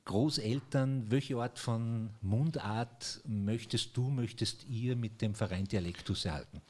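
A man's voice: medium pitch, unhurried, darkish tone. A man hosting a regional radio interview, asking long conversational questions with frequent fillers. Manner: conversational, many fillers and restarts. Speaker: radio host.

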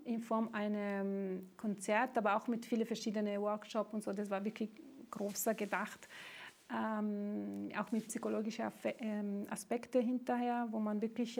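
A woman with an Italian accent, a native Italian speaker, answering interview questions about her songwriting, pausing often to search for words. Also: medium pitch, creaky, darkish tone. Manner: hesitant, searching for words, many fillers.

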